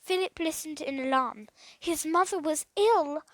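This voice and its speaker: High-voiced woman reading a children's story. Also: measured pace, no echo. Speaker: storybook reader. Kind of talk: scripted children's story narration.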